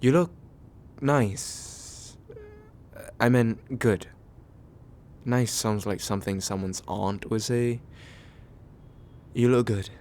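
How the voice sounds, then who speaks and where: Slow, hesitant man acting a nervous, kind date. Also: on the low side, slight background noise. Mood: shy, pleased. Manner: hesitant, soft-hearted, self-deprecating, halting with small pauses. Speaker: ASMR roleplay voice actor. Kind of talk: ASMR comfort roleplay, acted as casual chat.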